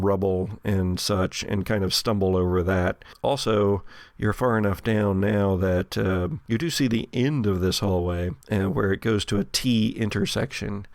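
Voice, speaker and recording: Gravelly, low-voiced man narrating a horror game. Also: no room sound. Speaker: game master (the Keeper) of an actual play podcast.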